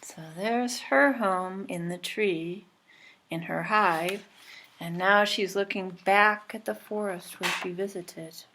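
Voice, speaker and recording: A woman's voice: mid-range voice, takes it slowly, bright, forceful tone. A woman reading a children's book aloud, adding simple asides and questions to her listeners. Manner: explains pictures simply, prompting with questions.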